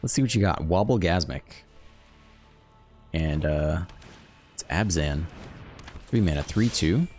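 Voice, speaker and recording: A man hosting a card game video, thinking aloud in a relaxed, chatty way as he plays. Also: sits low, unhurried, pauses often, faint music behind. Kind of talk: casual live gameplay commentary.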